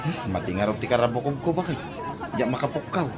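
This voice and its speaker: Man acting a grumbling, animated Ilocano vendor husband. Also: medium pitch, fast, noisy background, lightly acted. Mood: reluctant, complaining. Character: put-upon fish-vendor husband, complaining and teasing. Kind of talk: Ilocano comedy radio drama acting. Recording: faint market crowd behind.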